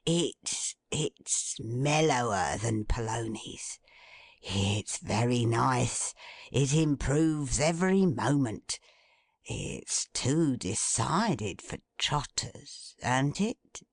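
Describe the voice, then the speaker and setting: A woman voicing a poor old working man who muses slowly in plain, homely speech. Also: a bass voice, animated, husky, dry recording, pitched below the performer's own voice, exaggerated voice. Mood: musing. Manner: slow, musing, homely speech.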